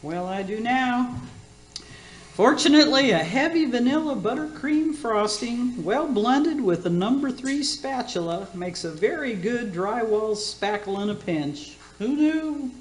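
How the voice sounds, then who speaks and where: A woman's voice: a little high, lively intonation, unhurried, slight background noise. A woman playing a batty, boastful older aunt who hosts a radio cooking show in a comic radio play, with a folksy, theatrical, catty manner. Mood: cheerful, scatterbrained. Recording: roomy echoing sound.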